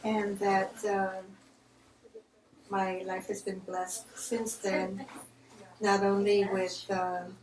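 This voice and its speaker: A woman's voice: mid-range voice, little pitch movement, very slow, long pauses, a little mellow. A woman leading a group class, encouraging others to introduce themselves, speaking with fillers. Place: clinic room. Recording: roomy, distant mic, faint noise.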